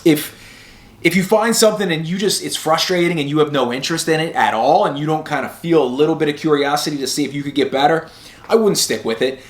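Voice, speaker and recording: A man's voice: fairly high pitch, quick pace. A man hosting a motivational vlog, talking casually in a rambling, blunt, informal way and swearing freely. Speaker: host of a sobriety motivation video series. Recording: roomy, echoey sound.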